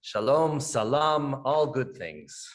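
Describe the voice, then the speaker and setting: A man's voice: medium pitch, very animated, takes it slowly. A man closing a discussion with earnest, heartfelt thanks to the guests.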